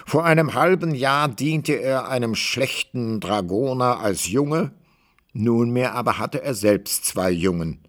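A man narrating a literary radio play as a deliberate, rhetorical storyteller. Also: medium pitch.

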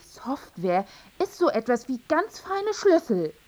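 An actress playing an exasperated, worried wife who nags earnestly in a radio drama, in slightly muffled sound. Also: female voice, high voice, very animated, slow, darkish tone, heavily acted. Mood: reproachful. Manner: reproachful, earnest. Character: exasperated, worried, nagging wife of a jobless man. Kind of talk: German radio drama acting.

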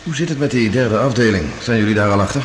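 A man's voice: mid-range voice, fast talker, a little hiss or hum, close to an everyday voice, rough recording. A male actor in a radio play as a suspicious, inquisitive investigator with a blunt way of talking.

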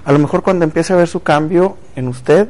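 A man's voice: a little high, brisk, a little mellow. A man hosting a casual talk show, chatty and speaking directly to viewers. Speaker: TV show host.

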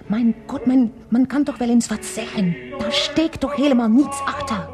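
An actress as a high-voiced, jealous German mistress. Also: female voice, moderate pace, lightly acted. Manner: cooing, nagging, hissed whispers. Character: jealous, suspicious German mistress, clinging and fussy. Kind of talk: Dutch radio play dialogue. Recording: music behind the voice.

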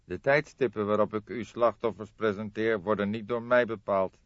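A grave older male detective from an audiobook, voiced by a male reader. He speaks deliberately and thoughtfully, as someone weighing each fact. The sound is dry and a little muffled. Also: lowish voice, steady tone, no echo, voice pushed up, natural voice. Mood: apologetic.

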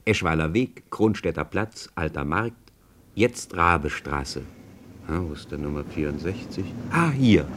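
Deep-voiced wry radio storyteller. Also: male voice, animated, unhurried, a little hiss or hum. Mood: vivid, amused. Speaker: narrator. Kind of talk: lively present-tense radio play narration.

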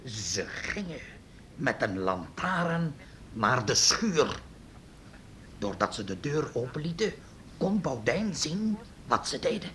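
A man's voice: very animated, slow pace, a bit bright. An actor playing a cautious, obsequious city sheriff reporting to his superior in a Dutch radio drama. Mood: deferential, hesitant. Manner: obsequious, hesitant. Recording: noisy old radio play, rumble.

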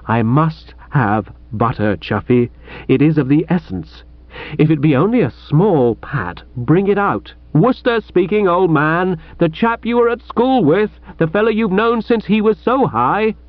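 A chummy, wheedling young gentleman, as a male narrator does him, his tone bouncing lively as he flatters and pleads. Dry and close, but with a dull, muffled sound. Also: a little high, unhurried, dry recording, voice pushed up. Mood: coaxing.

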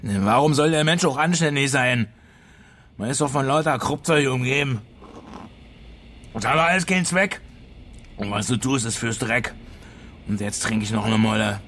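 A man's voice: mid-range voice, moderate pace, pauses often, fairly bright tone, slight background noise, close to an everyday voice. A man playing an embittered, hard-drinking Berlin working man in a radio drama, brooding and blunt, with a Berlin accent. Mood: drunken, bitter. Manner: repetitive, brooding, blunt grumbling.

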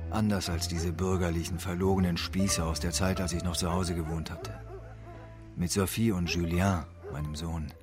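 A man's voice: deep voice, level tone, normal speed, over music, close to an everyday voice. An actor playing a weary, embittered homeless man, narrating with brooding reflection in an intimate radio drama. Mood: wistful. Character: weary, embittered homeless man mourning his best friend.

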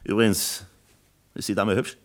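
An actor as an authoritative factory party secretary in a radio drama. His voice is fairly low, and he speaks in a brusque, commanding way, lecturing like an official used to being obeyed. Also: level tone, moderate pace, close to an everyday voice. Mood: firm, lecturing. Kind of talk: German radio play acting.

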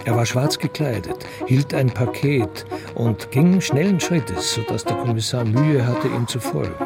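An actor narrating a radio crime drama in a sober, scripted manner. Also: male voice, medium pitch, unhurried, gravelly, over loud music. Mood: measured.

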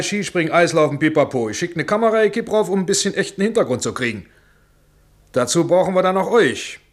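A man acting in a radio drama as a domineering, wheedling Hollywood producer, bossy and patronizing in manner. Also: high voice.

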